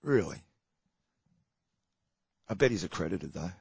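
A man's voice: sits low, lively intonation, a little slow, creaky, a little mellow, husky, no room sound, dull audio. An Australian man co-hosting a casual podcast chat, blunt and sarcastic, often stumbling and restarting. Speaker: podcast co-host.

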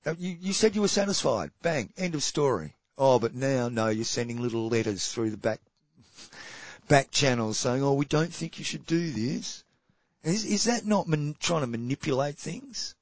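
A man's voice: mid-range voice, lively intonation, moderate pace, no echo, highs cut off. An Australian man co-hosting a casual podcast chat, blunt and sarcastic, often stumbling and restarting. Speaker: podcast co-host.

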